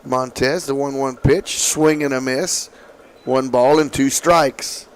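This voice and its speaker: A man calling softball play-by-play, his voice slightly raspy from allergies, with calm, measured calls. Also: medium pitch, a little hiss or hum. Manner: calm, measured calls, excited on big hits. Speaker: play-by-play announcer.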